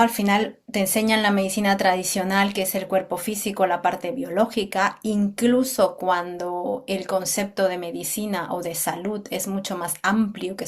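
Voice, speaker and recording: A woman from Peru, a doctor speaking as a guest, expressively recounting her experience in conversation with frequent tag questions. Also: mid-range voice. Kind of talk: conversational personal account.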